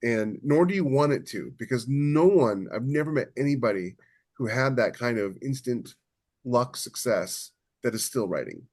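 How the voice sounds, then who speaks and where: A man's voice: mid-range voice, lively intonation, normal speed, darkish tone. A man co-hosting a podcast, chatting casually and giving blunt, emphatic writing advice. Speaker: podcast co-host, fiction writer.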